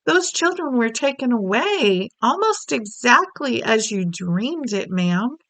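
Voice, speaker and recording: Woman voicing an oldish maid, deferential, stammering, high voice, dry close sound. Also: big pitch swings, slow, fairly bright tone, dry recording, heavily acted. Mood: anxious.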